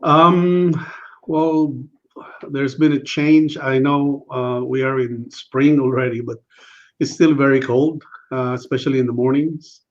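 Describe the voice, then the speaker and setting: Guy on a video call, talks real slow and easy, kinda dull lo-fi sound. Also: mid-range voice, fairly even intonation. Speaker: guest music teacher. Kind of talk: casual video call chat.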